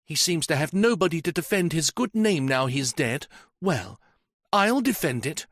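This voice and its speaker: A man's voice: on the high side, very animated, normal speed, a bit bright, no echo, clean studio sound, voice pushed up. A man voicing a distraught, grieving young woman who speaks in impassioned, tearful outbursts.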